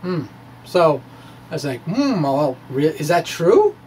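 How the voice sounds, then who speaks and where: A middle-aged man talks through science in a small room, with noticeable noise behind him. His delivery is informal and halting, full of musing hums, with a theatrical, animated turn to his phrasing. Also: a little high, low-quality recording. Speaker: science video blogger. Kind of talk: informal dramatic reading of a physics blog to camera. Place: small indoor room with bookshelves. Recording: clear background room noise.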